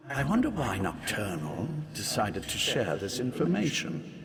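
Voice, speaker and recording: An actor playing a curious, easily peeved scholar of the occult in a video game, speaking in a measured, musing and expressive way. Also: male voice, lowish voice. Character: male scholarly occult researcher, curious, peeved, dryly polite. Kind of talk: video game character dialogue.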